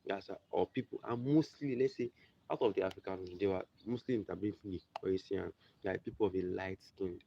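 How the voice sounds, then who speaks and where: A young Nigerian man talks in a very low, gravelly voice, rambling and circling back on himself in a casual explainer. The sound is muffled and lo-fi, with steady noise underneath. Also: brisk. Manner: rambling, repeats and restarts, conversational asides. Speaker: video presenter. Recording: muffled lo-fi recording with background noise.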